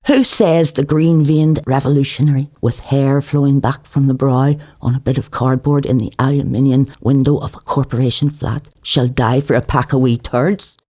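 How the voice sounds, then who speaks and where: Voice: mid-range voice, fairly even intonation, moderate pace, few pauses, warm tone, no room sound, clean studio sound, lightly acted. A narrator voicing a defiant, sardonic young Northern Irish woman in an audiobook, brash in manner.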